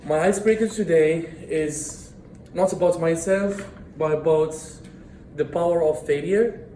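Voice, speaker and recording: A man in his thirties gives an earnest prepared speech, often tripping and restarting on words. His voice sits high. The sound is roomy and echoing, with a faint hum of the meeting room behind it. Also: dark tone. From Morocco. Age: 30s. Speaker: club speaker. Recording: echoey room.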